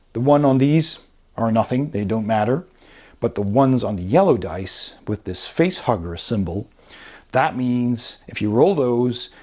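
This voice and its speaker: A man's voice: fairly low pitch, a little mellow, slightly rough. A man giving a casual, explanatory review as a board game reviewer, talking informally and a little hesitantly step by step.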